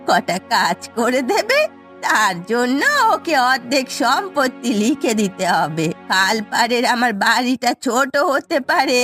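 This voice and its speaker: Lady doing a grumbly old village granny for a cartoon, high voice, slow and dragged out. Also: fairly bright tone. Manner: complaining, scolding, dramatic. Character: querulous, suspicious old village grandmother with aches. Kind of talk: Bengali cartoon voice acting.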